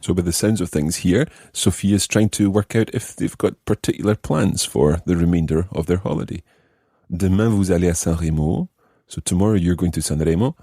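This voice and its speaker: A man's voice: low-pitched, normal speed, dark tone, husky, no echo, clean studio sound. A man teaching a language lesson, explaining and translating phrase by phrase with careful enunciation. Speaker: language teacher, podcast host.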